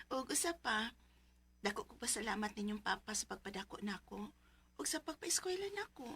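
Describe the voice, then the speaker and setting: Radio drama role: dutiful twenties Bisaya daughter, emotive, high and swinging in pitch, slightly husky edge. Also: female voice, big pitch swings, normal speed, fairly bright tone, full character voice. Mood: affectionate, grateful. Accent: Filipino, Bisaya. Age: 20s. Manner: emotive, affectionate. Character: dutiful, suffering eldest daughter, twenty-six.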